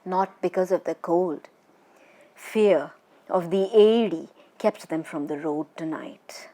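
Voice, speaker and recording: Expressive woman narrating a scary story. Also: on the low side, slow. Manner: expressive, dramatic, suspenseful delivery.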